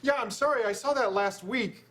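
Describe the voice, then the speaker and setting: Husky-voiced speaker in a comedy clip. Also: male voice, very high voice, moderate pace, a bit bright. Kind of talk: comedy sketch dialogue.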